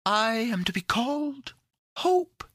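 A man's voice: very high-pitched, big pitch swings, slow, fairly bright tone, no echo, clean studio sound, voice pushed up, heavily acted. A man voicing a tiny, sweet, cheerful female fairy for a storybook, with a coaxing, sing-song manner. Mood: sunny, reassuring. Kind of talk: storybook character voice.

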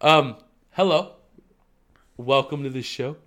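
A man's voice: mid-range voice, slow pace, a bit bright. A young man co-hosting a podcast in casual slangy chat, a little congested and a few drinks in. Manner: laid-back, slangy, says dude and bro a lot. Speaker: podcast co-host.

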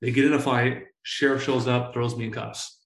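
A man in his forties telling stories in a casual interview, full of slang and swearing, excitable and often repeating himself. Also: medium pitch, normal speed, creaky, echoey room, slight background noise. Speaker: entrepreneur guest.

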